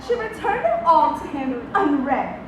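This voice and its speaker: A woman acting a worldly, self-assured stage actress in a play, speaking grandly and teasingly with dramatic pauses. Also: high-pitched, sing-song. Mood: playful, teasing. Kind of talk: theatrical one-act stage play acting. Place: large auditorium stage, distant microphone. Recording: echoey noisy auditorium from far off.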